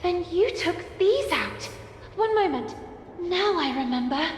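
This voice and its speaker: An actress playing an earnest, excitable young girl, speaking dramatically in an animated game. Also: very high-pitched, unhurried, echoey room, big-room sound, a little hiss or hum. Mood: startled realization.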